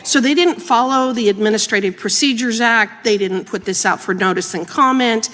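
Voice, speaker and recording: A woman's voice: fairly high pitch, moderate pace, fairly bright tone. An American woman speaking formally as a politician questioning witnesses at a hearing. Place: large indoor hearing room. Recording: reverberant hearing room.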